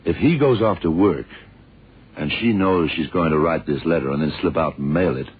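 A man playing a shrewd, world-weary detective in a radio drama, deliberate and slangy as he thinks aloud. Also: very deep voice, husky, full character voice. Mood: insistent, pondering. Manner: deliberate, musing, terse and slangy. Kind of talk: 1960s-70s radio drama acting. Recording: muffled, tinny old radio recording.

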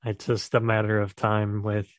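A young American man hosts a casual podcast over a remote call, his voice sitting fairly low. He talks loosely and asks questions full of "like" and "I mean." The sound is dry and close. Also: moderate pace, no echo. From United States. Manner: relaxed, filler-heavy questioning. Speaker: podcast host.

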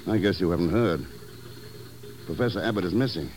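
An actor playing a cool, probing secret agent in an old radio drama, speaking in a measured, understated way. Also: male voice, low-pitched, a little mellow, close to an everyday voice. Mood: dry. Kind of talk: 1950s radio drama acting. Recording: slightly noisy 1950s radio recording.

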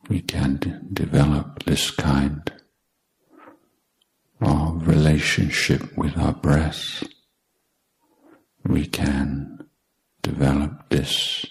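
Male meditation teacher, extremely low gravelly voice, measured delivery in short phrases with long silences. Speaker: meditation teacher, Buddhist monk. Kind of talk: guided meditation instruction.

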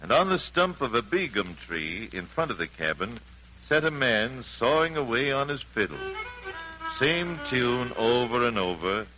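A man playing a folksy American storyteller, narrating family tales in an unhurried 1940s radio style. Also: medium pitch, big pitch swings, pushed and bright, faint music behind, low-quality recording. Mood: homespun, storytelling. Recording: old 1940s radio broadcast.

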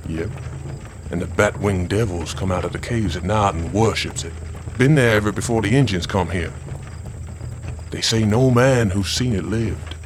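Radio drama role male actor: superstitious Southern country lawman, lowish voice, spinning eerie legends, faint background noise. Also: moderate pace, close to an everyday voice. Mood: ominous. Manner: folksy, ominous storytelling.

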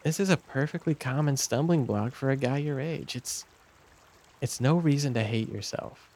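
A man's voice: medium pitch, moderate pace, darkish tone, lightly acted. Man playing an earnest, placating church camp counsellor. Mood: reassuring. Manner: hesitant, reassuring. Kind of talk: naturalistic audio drama acting.

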